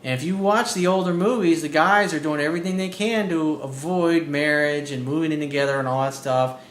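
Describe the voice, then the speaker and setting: A man working as a dating coach, giving blunt, profane advice as he reads out listener emails. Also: a little fast. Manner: blunt and profane, animated, repeats key points. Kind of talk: casual advice monologue reading out emails. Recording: roomy echo with faint low rumble.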